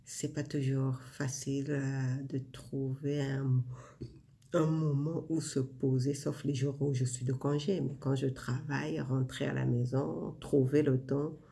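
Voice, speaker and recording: A woman in her forties shares reflections in a casual vlog, talking softly and directly to the listener. Her delivery is slow and relaxed, with a warm, dark tone. A gravelly fry runs through her voice, and she fills pauses with "hein" and "euh". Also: a bass voice. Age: 40s. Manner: intimate, addresses the listener directly, many fillers. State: winding down before bed. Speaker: vlogger sharing inspiration.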